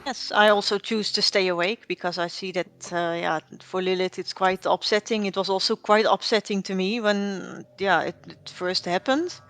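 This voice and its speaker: A woman's voice: mid-range voice, fairly even intonation, normal speed, hardly any pauses, a bit bright. A woman telling her roleplay character's backstory, rambling with fillers and restarts. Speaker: roleplay player.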